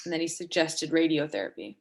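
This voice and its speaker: A woman in a dramatic reading, playing a sharp, foul-mouthed, vulnerable woman with a halting, blunt delivery. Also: low-pitched, animated, vocal fry, full character voice. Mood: hesitant, subdued. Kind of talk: dramatic reading of a stage play dialogue.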